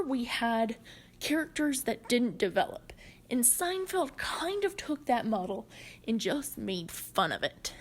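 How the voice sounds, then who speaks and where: A woman presenting a prepared explanatory narration in a measured, deliberate way. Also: sits high. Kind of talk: prepared video-essay narration on comedy history.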